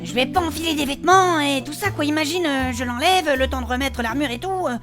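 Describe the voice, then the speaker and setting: A woman's voice: high-pitched, fast talker, lightly acted. A woman playing a coquettish, easily offended armoured adventurer in a French-style comic fantasy audio drama, with hesitant, colloquial, cooing speech. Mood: explaining, reluctant. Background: music.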